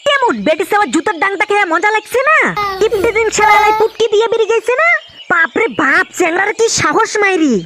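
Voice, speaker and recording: A performer voicing a bossy, foul-mouthed young man in a comedy cartoon, with a North Bengal accent and a shrill voice. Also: lively intonation, quick pace, noisy background. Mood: scolding. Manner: foul-mouthed, scolding, rustic. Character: Tepu, bossy scolding older-brother friend, young man.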